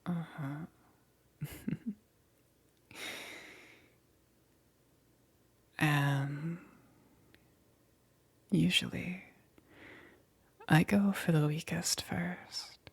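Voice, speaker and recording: A woman playing a sadistic, teasing demon queen in a whispered ASMR roleplay, husky and breathy, with drawn-out, taunting, condescending delivery. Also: deep voice, full character voice. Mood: condescending menace.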